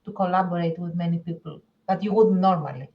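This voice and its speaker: A woman co-hosting a podcast, chatting casually in an animated, agreeable way. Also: lowish voice, quick pace, lo-fi sound, a little hiss or hum. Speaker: podcast co-host. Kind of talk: casual chat.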